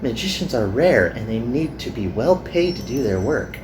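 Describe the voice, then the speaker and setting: A man's voice: mid-range voice, very animated, normal speed, reverberant, noisy background, lightly acted. A man voicing an earnest, perky young magical girl from an anime game.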